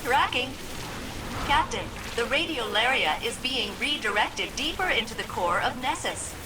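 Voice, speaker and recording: A woman's voice: fairly high pitch, lively intonation, a little slow, background noise. A woman playing a chipper, precise female starship AI in a video game, with formal wording. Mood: brisk, instructive. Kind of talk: scripted game dialogue.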